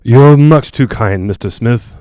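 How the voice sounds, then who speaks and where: A man playing a courteous, sober psychic researcher in a 1940s-style radio drama, speaking formally and in measured phrases. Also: mid-range voice, dark tone, dry recording, lightly acted. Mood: calm, solemn.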